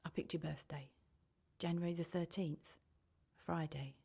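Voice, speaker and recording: A middle-aged woman's lowish voice, wistful and full of regret. an anxious mother confiding in a radio drama monologue. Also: fairly even intonation, unhurried, gravelly, mellow, breathy edge, full character voice. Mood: wistful, regretful.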